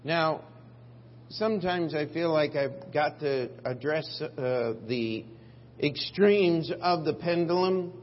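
Slow deliberate preacher guy, emphatic on scripture, echoey church tape, muffled. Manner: emphatic, rising on scripture, dropping low for asides. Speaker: pastor. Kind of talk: preaching a sermon. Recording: dull lo-fi church recording with hall reverb and faint hum.

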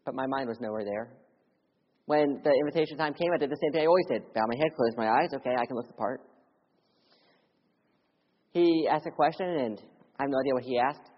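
A young man from Philadelphia preaching a sermon as a missionary, mixing personal testimony with earnest appeals, his voice rising loud and urgent, then dropping to hushed lows. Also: brisk, pauses often. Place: church sanctuary. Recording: dull audio with long church reverb.

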